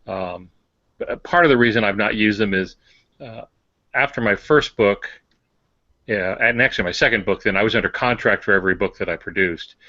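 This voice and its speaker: Low-voiced older man, hesitant and plainspoken. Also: normal speed, bright, forceful tone, no echo, lo-fi sound. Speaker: podcast co-host and published author. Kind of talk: casual conversation.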